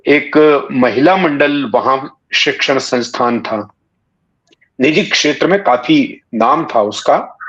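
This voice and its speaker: A man in his sixties from Bikaner in Rajasthan, reminiscing in an interview with halting, deliberate phrasing. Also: medium pitch, a bit bright. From Bikaner, Rajasthan, India. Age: 60s. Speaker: interview guest, writer and satirist. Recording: dull, muffled lo-fi audio.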